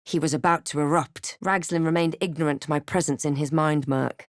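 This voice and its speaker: Woman acting a wry low-voiced fantasy adventurer. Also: fairly even intonation, brisk, dry recording, studio quality, close to an everyday voice. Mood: reflective. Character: female fantasy adventurer, wry, observant, telling her own journey. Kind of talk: video game character voice lines and first-person narration.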